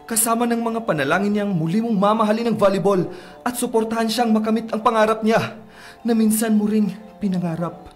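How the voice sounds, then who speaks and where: A man's voice: high-pitched, a little fast, soft background music, lightly acted. A man playing an encouraging, earnest volleyball coach in a radio drama, emphatic and persuasive.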